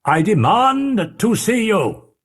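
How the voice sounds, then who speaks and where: Guy doing a stern lawyer voice, raised pitch, pushy and urgent. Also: lively intonation, takes it slowly, breathy edge, full character voice. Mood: urgent, commanding. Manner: forceful and urgent. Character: stern middle-aged male lawyer, commanding.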